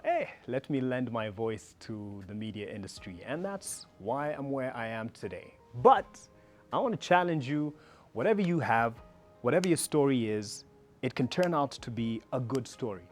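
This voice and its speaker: Kenyan male guest in his thirties, reflective and joking, casual storytelling over soft background music. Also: mid-range voice. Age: 30s. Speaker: journalist and guest. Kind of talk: casual chat mixing English and Sheng slang.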